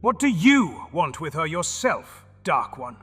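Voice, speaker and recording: An actor playing a sly, greedy male goblin who giggles, teases and flares into shouting, in an audio drama. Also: fairly high pitch, big pitch swings, a little slow, husky, exaggerated voice. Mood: menacing. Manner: giggles, teasing, turns to shouting. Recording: long reverb with music underneath.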